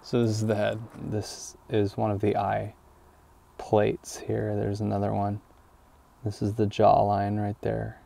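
A man in his twenties chatting casually and excitably as he hosts an outdoor vlog, rambling along into a lapel mic. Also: fairly low pitch, unhurried, creaky. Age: 20s. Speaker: vlog host and reptile enthusiast. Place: outdoors in open fields, some in a parked car. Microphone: clip-on lapel mic.

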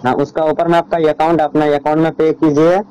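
Insistent man on a phone call, higher voice. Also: level tone, fairly quick, warm tone, smooth. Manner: insistent, repetitive, directive. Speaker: phone caller. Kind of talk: persistent step-by-step phone instructions.

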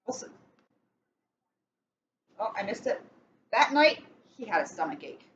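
A young adult woman reading a children's story aloud in an expressive, emphatic way, with casual asides. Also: medium pitch, measured pace. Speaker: police officer reading a picture book. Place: indoors in a catering cafe. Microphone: webcam a short distance away. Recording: muffled, noisy webcam room recording.

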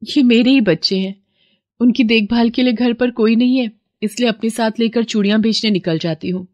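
A woman voicing a young, hardworking mother who makes and sells bangles in an animated story. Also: on the high side, normal speed, voice pushed up, natural voice. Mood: earnest.

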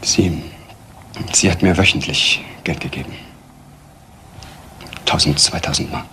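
An actor playing the bitter, shaken husband of a murdered woman in a radio crime drama, subdued and halting. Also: rumbling low, pushed and bright, whispered, exaggerated voice.

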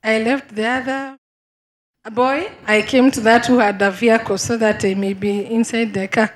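Excitable young woman from Kenya. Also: a little high, normal speed, echoey room. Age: young adult. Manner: emotional, excitable, laughing confirmations. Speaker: congregant.

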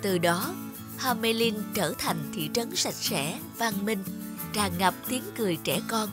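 A woman voicing the narration of an animated fairy tale in a storytelling style. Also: medium pitch, unhurried, natural voice. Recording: music bed under the voice.

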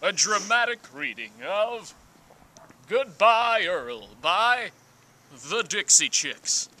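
A young man giving a mock-serious dramatic reading of song lyrics, deliberate and theatrical, with pauses. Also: sits high, lively intonation, sharp tone, slight background noise. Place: outdoors in snowy woods.

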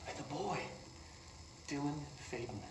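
A man playing a nervous, excitable research scientist in a game, rambling and halting as he justifies himself. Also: fairly high pitch. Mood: excited. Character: nervous, excitable male research scientist defending his prized subject. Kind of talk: video game drama acting. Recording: distant, echoey, noisy recording.

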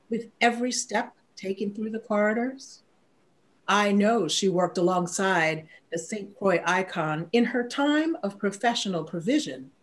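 An older woman reciting poetry in a measured, declamatory manner. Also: mid-range voice. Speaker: poetry reader.

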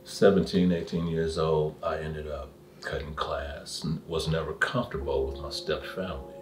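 A man's voice: extremely low pitch, steady tone, normal speed. A man speaking emphatically in a documentary interview, telling his story, recorded with a roomy, distant mic.

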